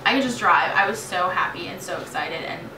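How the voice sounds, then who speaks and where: A young American woman in her late teens telling a story in a casual, animated, chatty vlog style. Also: on the low side, a little fast. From New York State, United States. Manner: animated, chatty, dramatizes remembered exchanges. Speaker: vlogger. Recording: roomy echo, distant mic, clearly noisy.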